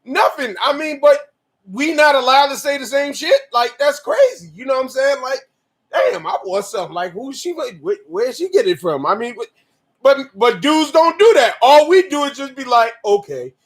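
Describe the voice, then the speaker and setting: A man of forty co-hosting a candid chat with his wife, talking in animated, slangy, profane bursts that sometimes rise to shouts. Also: very high voice, normal speed. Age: 40s. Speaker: podcast co-host.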